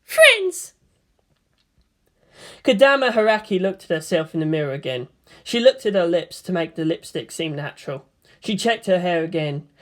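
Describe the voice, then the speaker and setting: Expressive woman reading a story aloud. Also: on the low side, brisk. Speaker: fan fiction reader. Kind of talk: scripted story narration with character voices.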